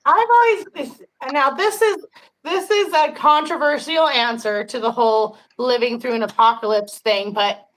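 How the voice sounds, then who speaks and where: A woman's voice: high-pitched, moderate pace, a bit bright, slight background noise, rough recording. A woman in her fifties hosting a casual livestream chat, talking frankly and chattily with frequent laughter and some swearing. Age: 50s. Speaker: podcast host.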